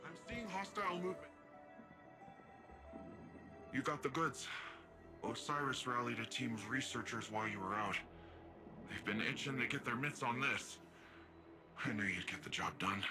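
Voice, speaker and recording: A man acting a young cyborg hero in a video game cutscene, earnest and open-hearted, speaking informally and haltingly as if confiding his grief. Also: medium pitch, natural voice. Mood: upbeat. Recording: dramatic music behind the voice.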